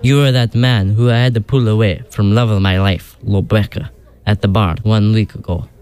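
Male actor as deep-ish Russian groom in his fifties, earnest, gentle-hearted, broken English, comic radio play. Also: fairly even intonation, normal speed, natural voice. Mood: stiff, earnest.

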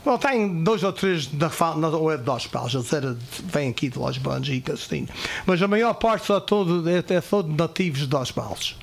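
A third-generation Portuguese-American man with an American accent, a radio guest speaking Portuguese haltingly, searching for words and slipping into English, in a radio studio. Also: a little high, expressive, creaky, a little hiss or hum. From California, third-generation Portuguese-American. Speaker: festival committee guest. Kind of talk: radio interview.

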